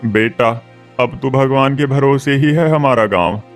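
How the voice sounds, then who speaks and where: Man as an old cartoon villager, medium-pitched, weary, fatherly, resigned, faint music underneath. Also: unhurried, lightly acted. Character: weary, kindly elderly village uncle. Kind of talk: cartoon story dialogue.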